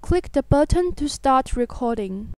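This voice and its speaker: A woman with a high voice narrates a scripted tutorial. Her tone is smooth and clean, captured very dry. She explains things step by step in an instructional way. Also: lively intonation, a little slow, a little mellow, dry recording.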